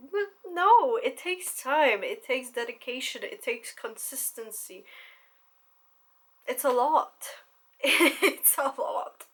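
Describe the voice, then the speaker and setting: Slow-spoken woman, candid and laughing. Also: fairly high pitch, fairly bright tone. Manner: candid, swears freely, laughs often. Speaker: content creator. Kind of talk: casual talk to camera.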